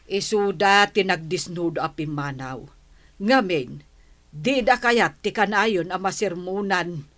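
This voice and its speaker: A nagging middle-aged village mother in an Ilocano radio drama. She speaks very slowly in a hard, bright, mid-pitched voice, exasperated with her family. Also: expressive. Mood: indignant.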